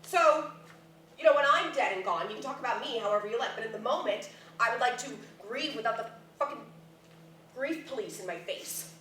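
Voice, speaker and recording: A woman's voice: on the high side, animated, brisk, pushed and bright. An actress playing a grieving, defensive middle-aged mother in a staged play reading, blunt and sardonic. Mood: indignant. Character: grieving mother of a grown daughter, prickly and defensive. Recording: echoey noisy room, distant mic.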